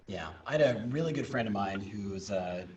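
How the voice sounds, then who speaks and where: A man's voice: fairly low pitch, fairly quick. Chatty middle-aged man, distant mic. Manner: relaxed, chatty, playful asides. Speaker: podcast host. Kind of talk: casual interview talk. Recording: distant mic, faint background noise.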